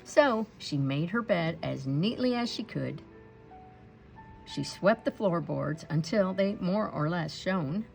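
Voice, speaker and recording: Woman storybook narrator: very unhurried, lowish voice with a gravelly edge, expressive delivery for young children. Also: faint music behind, rough recording. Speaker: storybook read-aloud reader.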